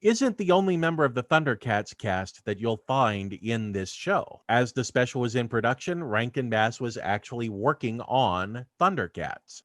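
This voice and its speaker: A male video host delivers scripted narration at a middling pitch. The sound is dry, with very little room around the voice. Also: dry recording.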